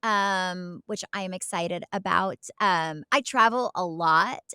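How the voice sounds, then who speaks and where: A woman's voice: lowish voice, slow, sharp tone, clean tone, dry recording, clean studio sound. A middle-aged American woman from Oklahoma co-hosting a podcast, rambling through family stories in an emphatic, chatty way. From Oklahoma, United States. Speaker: podcast co-host. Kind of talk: casual chat, telling family anecdotes.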